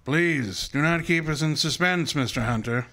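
An actor playing a pompous, dryly witty great detective in an old-time radio style drama, speaking formally and with measured care. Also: male voice, fairly high pitch, pushed and bright. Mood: coolly probing.

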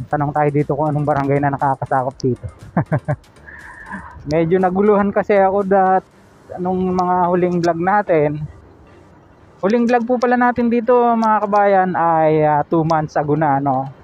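A man's voice: on the high side, unhurried, highs cut off, slight background noise. A man with a Filipino accent vlogging outdoors while traveling a road, talking chattily and politely, with many fillers and tag questions. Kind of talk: casual road vlog narration.

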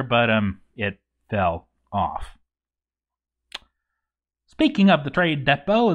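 Man playing a grouchy undead dwarf, swooping pitch. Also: a bit bright, exaggerated voice. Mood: rambling, grumbling. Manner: stammering, exasperated, hammy delivery. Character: grouchy, boozy undead dwarf zombie overseer, bumbling and exasperated. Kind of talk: dramatic reading of a game journal.

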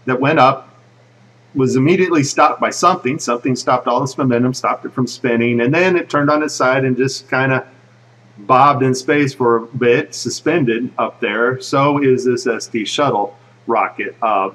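A man giving casual, speculative commentary over footage in a conversational way, with a slightly husky voice. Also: mid-range voice, moderate pace, fairly bright tone, distant mic, rough recording. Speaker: video narrator.

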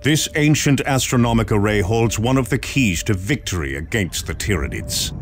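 An actor playing a stern, commanding space marine officer, briefing solemnly and with measured authority in a video game. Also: male voice, fairly low pitch, lively intonation, music in the background. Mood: stern, urgent.

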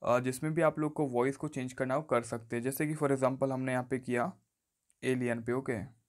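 Casting: male tutorial presenter, medium-pitched, casual explainer style with recurring stock phrases. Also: steady tone, moderate pace. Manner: instructional, often repeats stock phrases. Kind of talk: casual step-by-step phone tutorial. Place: voice-over on a phone screen recording.

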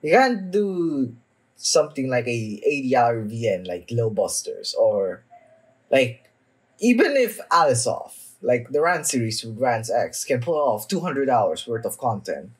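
Husky, slow-talking young man. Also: mid-range voice, very animated. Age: young adult. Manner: halting, trailing off mid-thought. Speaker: anime reaction vlogger. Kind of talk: casual off-the-cuff reaction commentary. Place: small home room, indoors.

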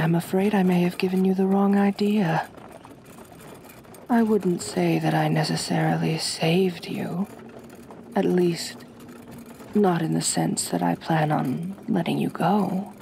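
An aristocratic female vampire, acted by a woman for an ASMR roleplay, speaks very slowly and softly in formal, soothing phrases. Her breathy voice has a marked creak and drops toward a whisper, gentle yet possessive. There is faint noise behind her. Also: fairly low pitch. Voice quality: soft, breathy, often near-whispered. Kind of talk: soft-spoken ASMR roleplay.

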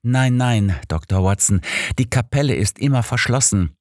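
A man's voice: mid-range voice, lively intonation, normal speed, darkish tone, no room sound, clean studio sound, voice pushed up, lightly acted. A man voicing a distraught, devout cardinal in an audiobook, speaking formally with grave, pleading earnestness. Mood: earnest, insistent.

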